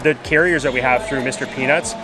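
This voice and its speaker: A man's voice: mid-range voice, fast talker, over music, poor audio. A man talking casually to camera as a travel vlogger in an airport. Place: busy airport.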